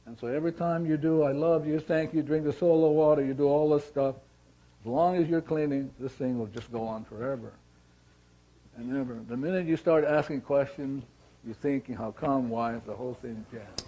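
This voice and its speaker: A man teaching a spiritual seminar in a deliberate, repetitive way, asking and answering his own questions. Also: mid-range voice, fairly even intonation, mellow. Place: seminar room with attendees. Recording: slightly dull lo-fi recording.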